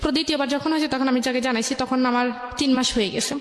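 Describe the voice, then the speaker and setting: A young adult woman with a Bangladeshi accent, telling her life story as an interview guest in a halting, rambling way. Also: high-pitched, fairly even intonation. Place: echoey indoor radio studio. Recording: echoey room with long reverb.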